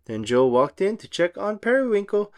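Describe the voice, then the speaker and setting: Animated, expressive children's storybook reader. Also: medium pitch, normal speed. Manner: expressive, sing-song storytelling. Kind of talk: animated read-aloud of a children's picture book.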